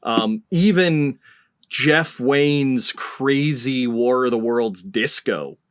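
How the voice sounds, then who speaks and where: Guy podcast host, dry close sound, mid pitch, just chatting through his questions. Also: extremely slow, no echo. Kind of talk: casual conversational interviewing.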